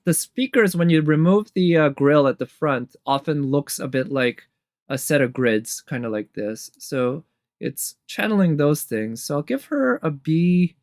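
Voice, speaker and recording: A man's voice: fairly high pitch, no echo, studio quality. A man giving casual, off-the-cuff reaction commentary as a video commentator, rambling a little as he thinks aloud. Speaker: video commentator ranking game trailers. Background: music from trailers playing.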